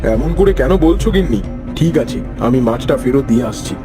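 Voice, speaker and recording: A man's voice: medium pitch, lively intonation, moderate pace, music in the background, natural voice. A man voicing a mild, henpecked husband and doting father in a cartoon, speaking in a placating way. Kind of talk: Bengali fairy-tale cartoon voice acting.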